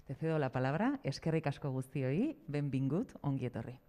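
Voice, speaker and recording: A woman's voice: a bass voice, sing-song, normal speed, dark tone, slight background noise. A woman introducing a public round table as its presenter. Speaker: event presenter.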